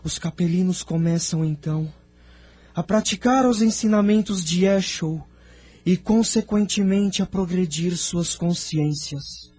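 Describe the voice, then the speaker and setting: A man playing an entranced seer in an audio drama, solemnly recounting visions in a slow, trance-like way. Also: high-pitched, a little mellow, breathy edge, faint music behind. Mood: entranced, awed.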